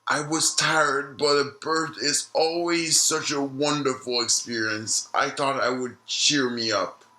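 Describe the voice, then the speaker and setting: Man playing elderly male doctor, haunted, audio-log drama, slow deliberate pacing, sharp bright tone, reflective storytelling. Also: mid-range voice, fairly even intonation, rough recording. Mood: weary, reflective. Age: older. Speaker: retired obstetrician being interviewed.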